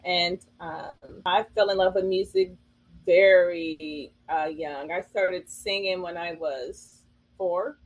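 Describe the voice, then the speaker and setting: A woman's voice: lowish voice, slow, lo-fi sound. A woman singer from Illinois, answering interview questions in a hesitant way with many fillers. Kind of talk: casual interview chat.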